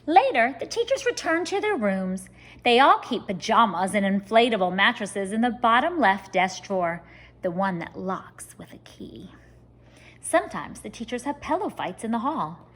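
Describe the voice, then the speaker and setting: A woman reading a children's story aloud like a teacher, expressive and speaking straight to young listeners. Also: on the high side, moderate pace, a little hiss or hum. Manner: expressive, addressing children directly.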